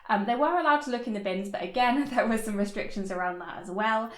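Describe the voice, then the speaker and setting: A woman's voice: medium pitch, fast. A woman reviewing a TV episode in a casual chat, full of "like" and "um", sometimes laughing as she talks. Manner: chatty, lots of "like" and "um", laughs while talking. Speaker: TV review vlogger. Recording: echoey room.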